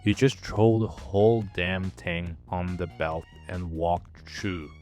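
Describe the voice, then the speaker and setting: A fitness coach talking straight to camera in a scripted video, direct with a dry, joking manner. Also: male voice, low-pitched, slow, a little mellow, soft background music.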